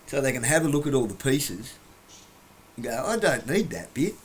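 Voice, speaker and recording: A man's voice: mid-range voice, very animated, normal speed, a little hiss or hum, rough recording. A man lecturing bluntly from his couch in a rambling monologue, breaking off words and laughing at himself. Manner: lecturing, blunt, rambling, trails off mid-word. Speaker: vlogger. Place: home, on a couch.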